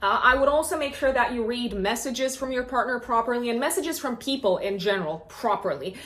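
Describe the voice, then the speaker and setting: A woman's voice: fairly high pitch, expressive, fairly quick, fairly bright tone. A woman who is a native Romanian speaker, chatting casually and playfully as an astrologer giving a horoscope, thinking aloud as she goes. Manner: informal and playful, thinks aloud with repeated words. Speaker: astrologer and host. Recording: echoey, reverberant room.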